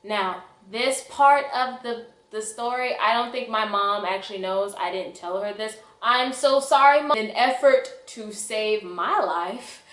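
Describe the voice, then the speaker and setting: Animated young woman telling stories, echoey room. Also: fairly high pitch, unhurried, few pauses. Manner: dramatic, exaggerated, slangy. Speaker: vlogger. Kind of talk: animated personal storytelling with acted-out dialogue.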